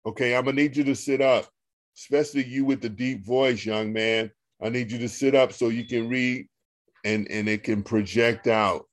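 A man with a deep voice, a pastor leading an online Bible study and reading scripture aloud slowly on a video call. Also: steady tone, no echo, clean studio sound. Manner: slow, deliberate reading with a coaxing, teasing tone.

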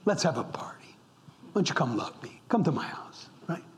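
Impassioned high-voiced male pastor. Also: very animated, moderate pace. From upstate New York. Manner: impassioned, repeats words for emphasis, drops to a whisper at times. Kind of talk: sermon. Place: church.

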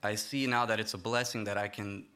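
Young man preaching, bright clear voice. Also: on the low side, level tone. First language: Romanian not first language. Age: young adult. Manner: hesitant, halting with many fillers and restarts. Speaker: lay preacher. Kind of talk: sermon. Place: church.

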